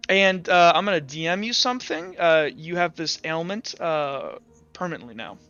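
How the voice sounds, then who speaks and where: Guy game mastering, high bright voice, halting dramatic narration, bit muffled with music behind. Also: expressive, clear, resonant tone. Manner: halting, dramatic, swears casually. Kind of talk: improvised tabletop game narration.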